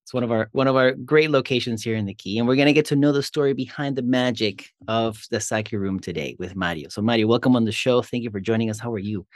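A man's voice: mid-range voice, quick pace, few pauses, no room sound, studio quality. A man hosting a local community interview show, asking questions in an upbeat way with brief acknowledgments. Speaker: podcast host.

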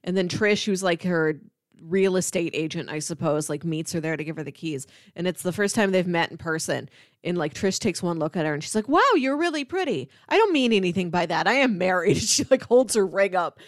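A woman's voice: fairly low pitch, lively intonation, fairly quick, no echo. Woman podcaster, close dry sound. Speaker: film podcast co-host. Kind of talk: casual film discussion.

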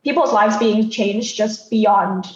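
A woman's voice: medium pitch, slow pace. Muffled, echoey woman from Thailand, reflective. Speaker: podcast guest. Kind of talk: conversational interview answers.

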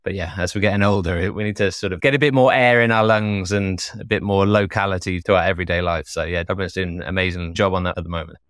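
A middle-aged man from Wales, chatting casually as a podcast guest, relaxed and quick to laugh. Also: sits low, no echo, studio quality. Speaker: podcast guest, web developer. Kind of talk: casual interview chat.

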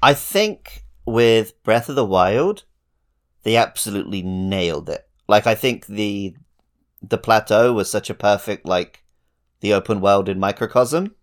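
Male co-host, slightly low pitch, casual conversational gaming podcast talk. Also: animated, a little slow. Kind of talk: casual video game discussion.

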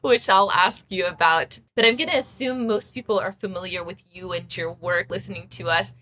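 A woman's voice: fairly low pitch, normal speed, a little hiss or hum, low-quality recording. Admiring woman podcast host. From United States. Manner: warm, admiring, laughs often. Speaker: podcast host and Persian teacher. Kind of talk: interview podcast.

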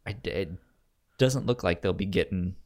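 A man co-hosting a podcast in casual chat, halting and stammering over his words as he talks. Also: sits low, darkish tone. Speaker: podcast co-host.

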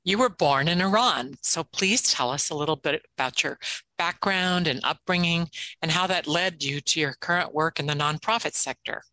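A woman's voice: low voice, moderate pace, bright, forceful tone, dry recording, rough recording. An older woman co-hosting an interview show, reading the guest introduction with a few slips. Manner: reads the intro with small slips. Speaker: podcast co-host.

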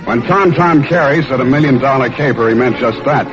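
An actor playing a cool, wry American private detective in a 1940s radio drama, with laconic, slangy hard-boiled delivery. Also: male voice, medium pitch, a little fast, lightly acted. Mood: wry narrating. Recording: muffled vintage radio broadcast, music underneath.

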